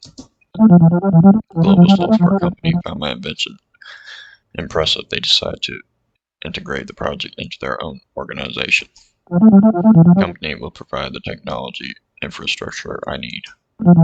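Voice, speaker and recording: A man reading aloud as a determined young software inventor, speaking in first person over faint game music on dull lo-fi audio. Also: low-pitched, gravelly, no echo, full character voice. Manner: reads the story text aloud in first person. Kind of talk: reading visual novel dialogue aloud.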